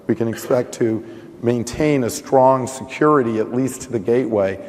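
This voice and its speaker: A hoarse man giving a technical conference talk. He thinks aloud, restarting sentences and often saying "you know". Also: mid-range voice, normal speed, darkish tone. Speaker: conference panelist. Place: conference session room. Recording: reverberant conference hall.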